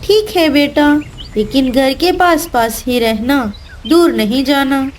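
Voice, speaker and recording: A woman's voice: sits high, slow pace, a little hiss or hum. A woman voicing a caring, protective mother bird in a children's cartoon, motherly and firm. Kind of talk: Hindi children's cartoon voice acting.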